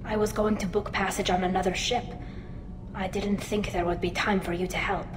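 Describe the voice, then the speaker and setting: A woman's voice: mid-range voice, fairly even intonation, normal speed, noisy background, close to an everyday voice. Woman acting earnest young alien woman, roomy sound. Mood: somber, explaining. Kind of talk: dramatic video game dialogue.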